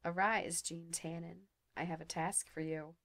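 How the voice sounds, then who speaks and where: A woman voicing a cruel, gloating male sorcerer in a slow, silky, menacing manner. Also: lowish voice, level tone, fairly bright tone.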